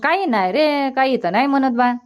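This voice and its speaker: A woman voicing a fond, gently nagging older village mother in a cartoon comedy, colloquial and insistent, with a rural Vidarbha Marathi accent. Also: sits high, animated, brisk. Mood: casual.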